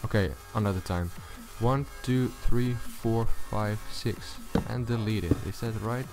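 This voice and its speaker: A man with a low voice giving a casual, unscripted gaming tutorial, thinking aloud as he goes. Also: unhurried, rough recording. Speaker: video tutorial host. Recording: music behind the voice. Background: loud music.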